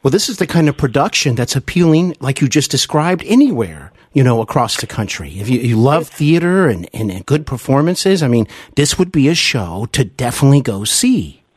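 A husky-voiced man hosts a conversational interview with widely swinging intonation. His phrasing is deliberate and appreciative, and the sound is dry and close. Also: mid-range voice, big pitch swings, dry recording. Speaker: podcast host, interviewer.

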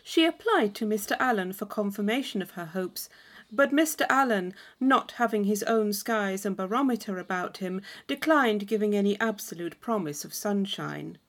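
A woman reading a classic novel aloud as an audiobook narrator, in expressive scripted storytelling. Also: mid-range voice, moderate pace. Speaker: audiobook reader.

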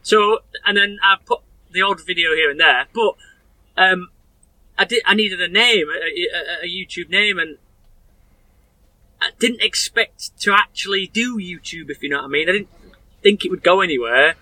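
Bright, high-voiced Yorkshire man rambling. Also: normal speed, no room sound, rough recording. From Sheffield, England. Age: 30s. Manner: rambling, self-deprecating, restarts sentences. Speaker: guest. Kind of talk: casual chat.

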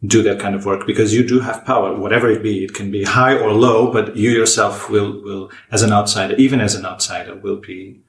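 Guest linguist, male, measured and deliberate, roomy sound. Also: lowish voice, fairly even intonation, gravelly. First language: Portuguese. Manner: measured, thoughtful, frequent restarts. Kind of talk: podcast discussion. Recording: roomy echo.

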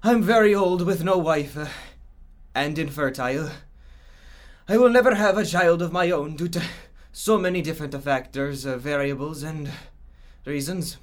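A man's voice: a little high, normal speed, natural voice. A man acting a lonely, rambling old woodcarver who grumbles theatrically in an audio drama. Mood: wistful rambling.

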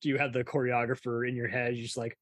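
A man's voice: mid-range voice, little pitch movement, quick pace, a crowd in the background. A man hosting a casual, conversational interview. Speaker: interviewer and podcast host. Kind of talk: casual chat.

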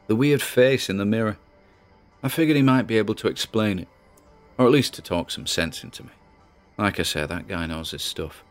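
A deep-voiced, haunted man as performed by an actor confides in his private audio log. He is hesitant and brooding, with a dry, wry edge, and faint music sits behind him in this audio drama. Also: smooth, natural voice. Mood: wry, reflective. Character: anxious, haunted grandson recording private audio logs. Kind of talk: audio drama monologue as a confessional diary.

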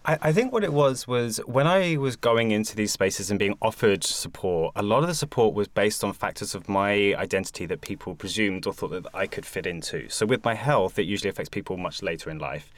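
Guest voice: young adult from England, low-pitched, earnest and reflective, dry booth sound. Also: male voice, expressive, fairly quick, hardly any pauses, no room sound. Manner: reflective, earnest, many fillers. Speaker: guest, support group founder. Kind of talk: conversational interview.

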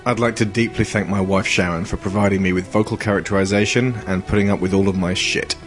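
Man to narrate audio fiction, low register, scripted read with music underneath. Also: steady tone, fast. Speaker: narrator and creator.

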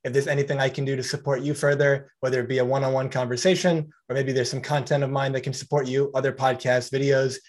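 A man's voice: mid-range voice, level tone, fast talker, hardly any pauses. Upbeat man hosting a podcast. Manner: encouraging, wraps up with upbeat promo talk. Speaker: podcast host. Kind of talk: casual podcast interview.

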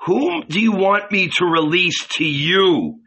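A haughty Roman governor, acted out by a male audiobook reader, taunting and commanding. The delivery is declamatory and forceful, climbing high and swooping with slow, weighty phrasing. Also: big pitch swings, husky, heavily acted. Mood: angry, commanding. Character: proud, mocking, angry Roman governor, male ruler.

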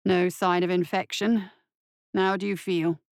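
A woman playing a calm, sinister male surgeon in an audiobook, polite and soothing, with a measured, softly commanding manner. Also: lowish voice, clear, resonant tone, no room sound, studio quality. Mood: clinical.

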